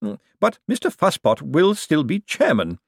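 Servile, humorless male bank clerk for an audiobook, done by a male reader: formal, guarded, hesitant, in a raised pitch. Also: wildly swooping pitch, normal speed, gravelly, slightly rough, dry recording, studio quality, heavily acted. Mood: subdued, wary. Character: stiff, deferential, joyless male senior bank clerk. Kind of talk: audiobook character dialogue.

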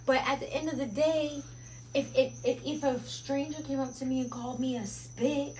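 A woman's voice: high voice, steady tone, normal speed, a little mellow, a little hiss or hum, low-quality recording. A woman of Mexican heritage co-hosting a casual podcast, talking bluntly with lots of swearing. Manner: blunt, profane, emphatic. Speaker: podcast co-host. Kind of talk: casual chat.

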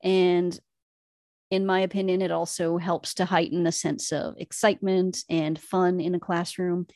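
A woman with a fairly low voice co-hosts an educational podcast. She explains teaching methods in an earnest, methodical way. Her voice is close and dry, with no room sound. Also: little pitch movement, unhurried, darkish tone, no room sound. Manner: earnest, explanatory, building arguments point by point. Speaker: podcast co-host, Latin teacher. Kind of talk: enthusiastic educational podcast discussion.

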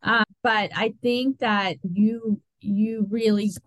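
A woman's voice: mid-range voice, steady tone, a bit bright, dry recording, low-quality recording. Agreeable woman co-host, dry close sound. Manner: agreeable, thoughtful, with pauses and fillers. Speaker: podcast co-host. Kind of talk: casual chat.

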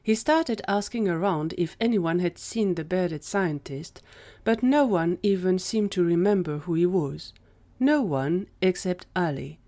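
Woman narrator for a fan audio story, slightly low voice, scripted delivery. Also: animated, measured pace, warm tone.

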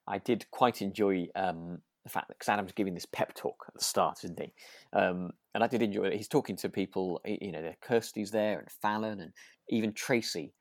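A man's voice: deep voice, a little fast, no room sound, studio quality. A man co-hosting a podcast, chatting casually and rather tentatively about a radio drama. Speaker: podcast co-host.

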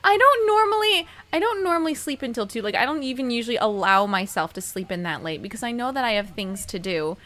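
Bright, lively high-voiced woman host. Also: fast, hardly any pauses. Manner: chatty, rambling, self-correcting. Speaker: podcast host. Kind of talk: casual podcast banter.

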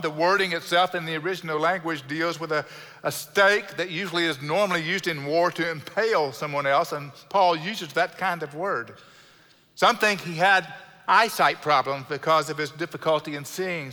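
A middle-aged man with a South Houston Texan accent, preaching a sermon in an earnest way, slowing down to stress key words and adding light self-deprecating humor. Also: fairly high pitch, pushed and bright. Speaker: pastor. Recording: long hall reverb from a church sanctuary.

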